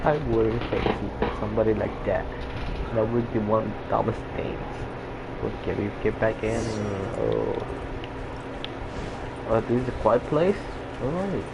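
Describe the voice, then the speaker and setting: A man's voice: fairly low pitch, a little slow, creaky, pauses often, heavy background noise. A man giving casual gameplay reaction commentary in a slangy, exclamatory way. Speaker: gamer, video creator.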